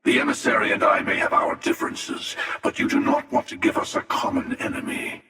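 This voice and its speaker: Game villain casting: a taunting, world-weary cosmic hunter, creaky and unhurried, echoing heavily. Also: male voice, fairly low pitch, wildly swooping pitch, pushed and bright, heavily acted. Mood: cool, threatening. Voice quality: gravelly, creaky. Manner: slow, sardonic, taunting. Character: cynical, menacing, world-weary male interdimensional hunter. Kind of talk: video game character voice lines. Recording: cavernous reverb, distant-sounding voice.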